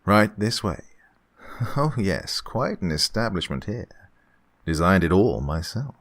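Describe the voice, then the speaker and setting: Man acting a gravelly, suave, gloating murderous gentleman. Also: sits low, sing-song, unhurried, heavily acted. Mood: eager, oily. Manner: fussy repetition, oily politeness, chuckling menace. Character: suave, gloating male employer who murders his housekeeper. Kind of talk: old-time radio horror acting.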